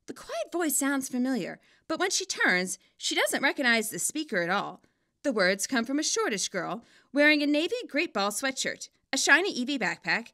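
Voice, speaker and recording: Bright-voiced woman narrating, lively and expressive. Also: a little high. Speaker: author narrating own fan fiction. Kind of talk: expressive scripted story narration.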